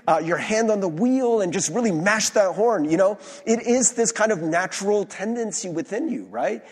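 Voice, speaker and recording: A male pastor giving a conversational sermon in a church, hoarse-voiced, informal and self-deprecating, with many fillers and restarts. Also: high-pitched. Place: church sanctuary. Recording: long reverb of a large hall.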